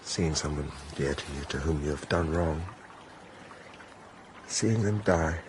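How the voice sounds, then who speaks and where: A man's voice: a bass voice, a little slow, noisy background. A man with a British accent playing a disillusioned, cynical prince in a radio drama, well-spoken, weary and clipped in manner. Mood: grave. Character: disillusioned, cynical Russian prince, a brooding landowner.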